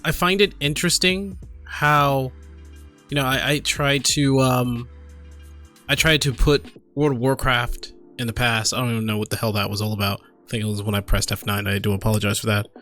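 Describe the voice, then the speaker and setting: Guy doing casual gaming commentary, kinda halting and apologetic, bright punchy voice, soft music in back. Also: medium pitch, steady tone. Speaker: gaming video creator. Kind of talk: casual commentary, reading a forum post aloud.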